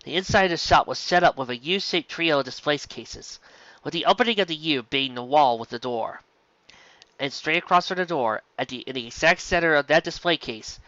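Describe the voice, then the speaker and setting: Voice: mid-range voice, fairly bright tone, no echo, dull audio. A narrator reading a story aloud from a script. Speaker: audiobook reader of fan fiction.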